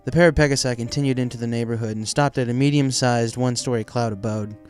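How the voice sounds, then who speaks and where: A man's voice: mid-range voice, steady tone, quick pace, a little mellow, faint music behind. A man reading a story aloud as scripted narration. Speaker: fan fiction reader.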